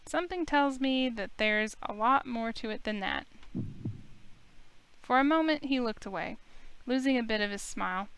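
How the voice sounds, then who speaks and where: A woman's voice: fairly high pitch, animated, moderate pace, long pauses, fairly bright tone, clear, resonant tone, low-quality recording. A woman streamer reading a visual novel aloud, telling the story expressively in the first person. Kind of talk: expressive story read-aloud of game text.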